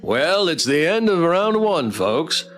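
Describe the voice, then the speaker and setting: A man's voice: a little high, sing-song, takes it slowly, a bit bright, soft background music. A man doing a theatrical read-aloud playthrough of a visual novel with commentary. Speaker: streamer playing and voicing a visual novel.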